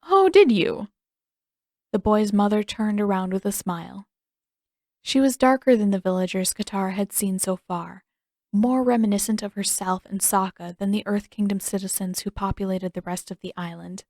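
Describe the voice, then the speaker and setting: A woman's voice: mid-range voice, fairly even intonation, normal speed, mellow, no echo, studio quality. A woman reading scripted story narration as an audiobook narrator. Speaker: audiobook narrator and fan fiction writer.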